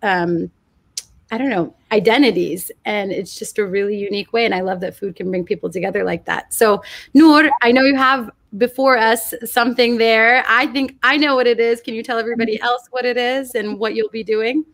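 A woman's voice: a little high, normal speed, fairly bright tone. A young adult woman hosting a live online cooking event from home over a video call, upbeat and full of praise for her guests. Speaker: host.

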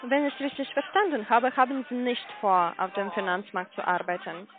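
A woman's voice: fairly high pitch, lively intonation, moderate pace. A woman who is not a native German speaker, talking politely and formally as a call center caller. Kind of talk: phone conversation. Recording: narrow phone line.

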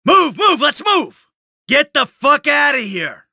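A man's voice: very high-pitched, sing-song, takes it slowly, vocal fry, pushed and bright, dry recording, raised voice, studio quality, full character voice. A man playing a cynical, short-tempered middle-aged American criminal in a video game, with a blunt, sardonic tough-guy delivery. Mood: angry, urgent.

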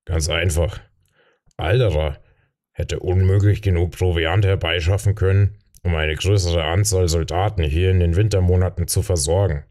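A man's voice: low voice, steady tone, normal speed, a little mellow, natural voice. A man voicing a confident, reassuring rebel fighter in a fantasy audiobook. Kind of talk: audiobook character voice.

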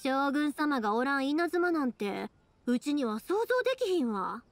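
A woman's voice: sits high, big pitch swings, moderate pace, no echo. A woman playing a cheerful, caring young woman in an anime game, chatty and friendly, with a Kansai accent. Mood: curious, chatty. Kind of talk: anime game character dialogue.

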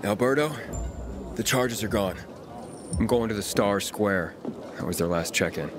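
A man plays a gruff wandering mercenary, the hero of a video game. He speaks fairly low, in blunt, guarded phrases, over music. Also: measured pace, natural voice. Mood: grim, urgent. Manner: terse, blunt. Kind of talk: video game character acting.

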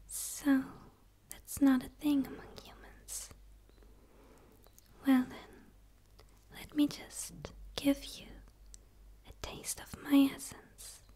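A young woman playing a gentle, curious alien in a whispered ASMR roleplay, speaking in a soothing, hushed way with pauses, close to the microphone. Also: high-pitched, level tone. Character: gentle, curious dark female forest alien.

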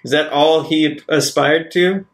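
Guy doing in-character rpg talk, creaky gravelly voice, bit echoey room. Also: on the high side, fairly even intonation, a little slow. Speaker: tabletop game player. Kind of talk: in-character tabletop roleplay. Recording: roomy echo.

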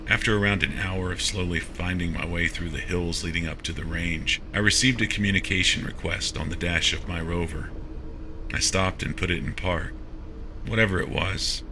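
A man's voice: low-pitched, sharp tone, a little hiss or hum. A man reading a first-person science fiction horror story as a scripted narration.

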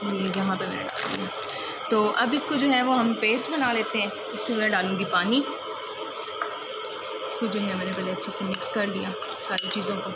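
Woman, home cooking vlogger, mid-pitched and chatty; phone recording with loud kitchen noise behind. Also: fairly even intonation, brisk. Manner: chatty, step-by-step, leans on the filler "jo hai". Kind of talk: casual cooking demonstration. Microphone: phone held over the stove. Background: loud kitchen noise, frying and running water.

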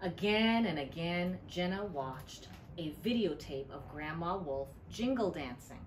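A middle-aged woman reads a children's story aloud with very lively intonation. Her pace is slow and deliberate, with emphasis on the storytelling. Clear background noise of the room runs behind her voice. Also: lowish voice. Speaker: children's librarian reading a picture book. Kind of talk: expressive storybook read-aloud. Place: indoor library room. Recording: clearly noisy room ambience.

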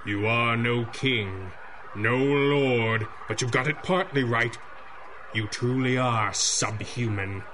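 Righteous masked hero, old-time radio drama, sharp forceful voice, formal diction, faint noise. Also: male voice, medium pitch, takes it slowly. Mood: stern, contemptuous. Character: stern, righteous, dry-witted male masked superhero. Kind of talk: 1930s-style radio drama acting.

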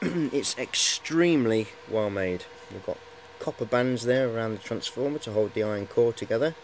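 A man's voice: medium pitch, normal speed. A man in his forties chatting casually and hesitantly as he shows equipment, rambling in a matey way, recorded on a handheld camera in a workshop. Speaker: hobbyist showing high-voltage equipment. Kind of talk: casual hands-on show-and-tell chat. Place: cluttered indoor workshop. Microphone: camera at arm's length. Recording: handheld camera, clear background noise.

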